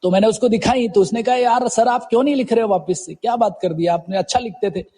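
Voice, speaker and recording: A man in his forties from Uttarakhand with a husky voice, telling his story conversationally as an interview guest, mixing in English words. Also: high-pitched, fast, mellow, big-room sound. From Uttarakhand, India. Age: 40s. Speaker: guest poet.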